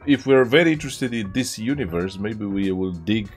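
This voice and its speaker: A man in his thirties co-hosting a film reaction from a small room, talking in a relaxed, unhurried way with short agreements. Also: on the low side, breathy edge, soft background music. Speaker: reaction channel co-host. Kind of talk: casual chat. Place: small bedroom studio, indoors.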